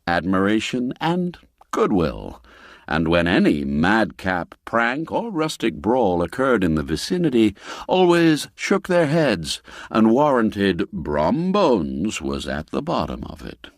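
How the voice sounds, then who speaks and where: A man's voice: on the low side, wildly swooping pitch, measured pace, dry recording, studio quality. A man narrating a classic tale in a theatrical, dramatic manner. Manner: theatrical, dramatic swings in emphasis. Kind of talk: scripted storybook narration.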